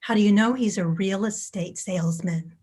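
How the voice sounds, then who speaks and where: A woman's voice: mid-range voice, normal speed, a little mellow, lightly acted. A woman playing a chatty, suspicious but hospitable suburban housewife in a staged play reading. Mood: hospitable, wary. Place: video call.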